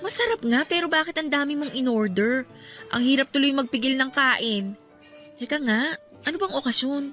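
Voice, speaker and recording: An actress playing a bashful, polite young girlfriend in a radio drama, with soft music underneath. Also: high-pitched, animated, normal speed. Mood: puzzled. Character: shy, good-natured young girlfriend.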